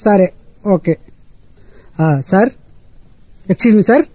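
Man doing a fed-up ticket collector, mellow dark voice, dry sound. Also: high-pitched, expressive, measured pace, no room sound. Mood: exasperated. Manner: exasperated, pleading, formal address.

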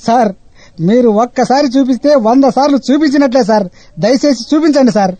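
Man doing a fed-up ticket collector, mellow dark voice, dry sound. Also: very high voice, fairly quick, dry recording. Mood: exasperated. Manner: exasperated, pleading, formal address.

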